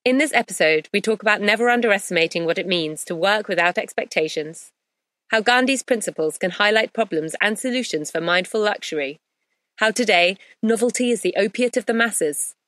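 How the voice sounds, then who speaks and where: Measured woman podcast host. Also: mid-range voice, lively intonation, a bit bright, no room sound. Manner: measured, upbeat reading of the intro. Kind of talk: scripted intro, then interview questions.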